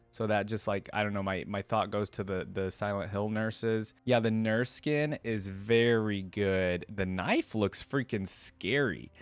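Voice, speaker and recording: A man's voice: on the low side, dry recording. A man hosting a reaction video, chatting casually and enthusiastically in slangy fan talk.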